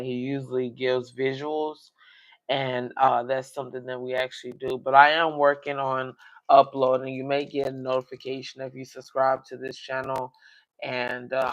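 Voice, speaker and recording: A man hosting a coin collecting livestream, rambling in casual chat to his viewers with a slightly raspy voice. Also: medium pitch, a bit bright. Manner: rambling, talks directly to viewers. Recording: dull lo-fi livestream audio.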